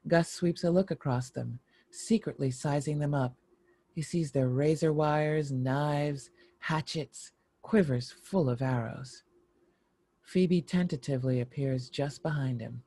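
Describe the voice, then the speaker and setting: A woman narrates stage directions from a screenplay. Her voice is dark and relaxed, recorded close and dry. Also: low voice, a little slow, no echo.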